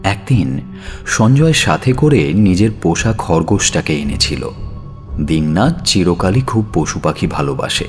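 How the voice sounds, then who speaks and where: A man narrating a dramatic audio story in a theatrical manner, with suspenseful pauses between phrases. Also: sits low, expressive. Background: background music.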